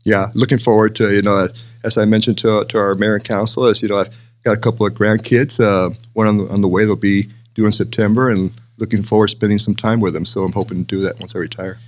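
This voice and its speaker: An older man from Texas speaks as an interview guest in a fairly low voice. He moves along at a quick clip, explaining things conversationally and punctuating points with "right?" and "you know". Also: steady tone. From El Paso, Texas, United States. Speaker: interview guest, city manager.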